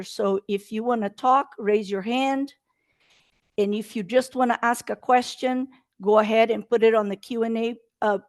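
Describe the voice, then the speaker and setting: A woman's voice: a little high, darkish tone, no room sound. Woman, Brazilian Portuguese accent, earnest webinar presenter, dead-dry video-call sound. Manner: earnest, talks in non-native English with slight slips. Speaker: state procurement presenter.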